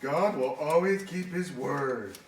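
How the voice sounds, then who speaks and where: Man doing a goofy, highish puppet sidekick. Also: takes it slowly. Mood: enthused. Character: Ralph, goofy, forgetful puppet space crewman sidekick. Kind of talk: children's church puppet show. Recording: echoey room, distant mic, faint noise.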